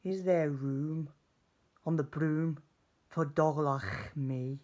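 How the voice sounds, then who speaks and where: Man voicing an eager, keen storybook dog, raised pitch. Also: fairly even intonation, slow, darkish tone.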